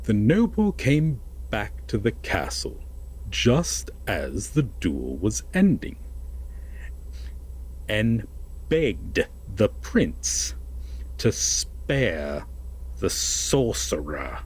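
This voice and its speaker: A man playing an obsessive, possessive lover in an intimate roleplay audio drama, speaking deliberately and coaxingly with dramatic pauses and a husky voice. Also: fairly low pitch, wildly swooping pitch, exaggerated voice, rough recording. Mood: ominous storytelling. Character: possessive, obsessive lover telling a veiled fairytale.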